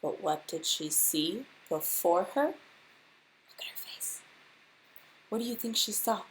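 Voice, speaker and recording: A woman reading a children's storybook aloud like a teacher to a class, expressively and deliberately, putting questions straight to her young listeners. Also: medium pitch, expressive, in a whisper, rough recording.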